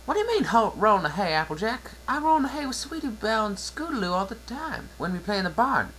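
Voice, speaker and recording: A performer voicing an innocent, curious little cartoon filly who gets excitable, in a dramatic fan-fiction reading. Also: mid-range voice, fast, slight background noise, voice pushed up, poor audio. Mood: innocent, puzzled.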